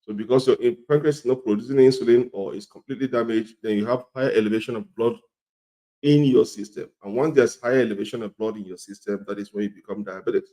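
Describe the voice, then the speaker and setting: A middle-aged man with a cold and a slightly hoarse voice, teaching an informal health lesson, repeating his points and often asking "okay?". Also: mid-range voice, fast talker, dark tone, rough recording. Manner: repetitive, often checks in with "okay?". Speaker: health educator.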